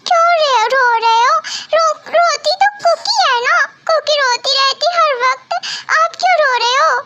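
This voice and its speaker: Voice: very high-pitched, unhurried, sharp tone, muffled recording, slight background noise, exaggerated voice. A performer voicing a childlike, naive young wife with a babyish, pleading manner in an amateur romantic audio drama. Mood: worried, tearful. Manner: babyish, pleading, speaks in third person. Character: childlike, naive young wife who speaks of herself in third person.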